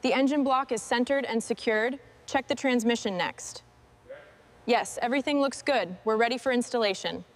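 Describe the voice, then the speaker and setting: A woman with a somewhat high-pitched voice reads scripted narration. She presents mechanical restoration work in short, simple statements. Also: normal speed.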